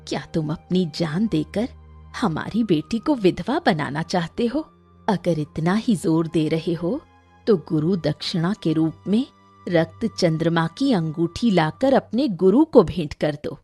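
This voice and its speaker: A woman's voice: fairly low pitch, expressive, measured pace, dark tone, slightly rough, soft background music, heavily acted. A woman voicing a holy man's elderly wife in a cartoon story, chiding and matter-of-fact.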